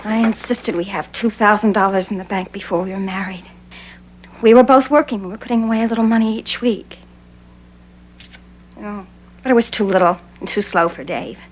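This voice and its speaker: An actress playing an anxious, desperate young American informant in a 1960s television crime drama. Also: female voice, mid-range voice, normal speed, natural voice. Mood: regretful, confiding. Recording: noisy old TV soundtrack with hum.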